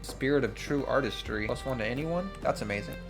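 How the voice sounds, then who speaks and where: Casting: male gaming host, average pitch, excitable, reads story text and comments off the cuff, music underneath. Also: a little fast, gravelly. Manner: excitable, thinks aloud in slangy asides. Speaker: player and host of a gaming channel. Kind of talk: tabletop game play, reading narration aloud and commenting.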